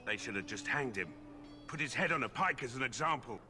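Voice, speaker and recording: An actor playing a grudging, callous soldier on guard duty in a fantasy game, surly and sardonic. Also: male voice, mid-range voice, lively intonation, fairly quick, music in the background, lightly acted. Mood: bitter grumbling.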